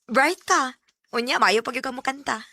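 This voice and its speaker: Bright, coy female student sweetheart. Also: high voice, moderate pace, full character voice. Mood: friendly, admiring. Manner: coy, giggly. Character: friendly, coy third-year female student, admiring sweetheart. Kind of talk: Bisaya radio drama acting. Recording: music under the dialogue.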